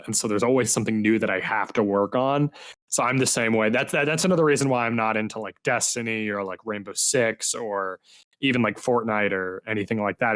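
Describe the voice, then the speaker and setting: A man's voice: mid-range voice, a bit bright. A man hosting a podcast in a casual, conversational style, talking loosely and informally. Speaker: podcast host and game reviewer. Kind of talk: casual podcast interview chat.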